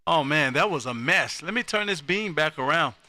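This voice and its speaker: An American man hosting amateur radio contacts on a live stream, loud, exuberant and folksy, often repeating his phrases. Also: on the high side, lively intonation, moderate pace, heavy background noise.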